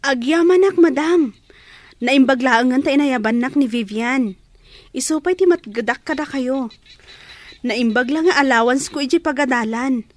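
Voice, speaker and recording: A woman's voice: sits high, normal speed, natural voice. A woman acting a grateful, polite young student laundress in an Ilocano radio drama. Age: young adult.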